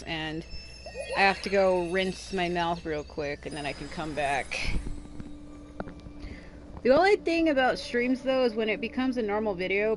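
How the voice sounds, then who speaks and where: A woman livestreaming a game, chatting casually in a rambling, explanatory way. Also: lowish voice. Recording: noisy home livestream audio.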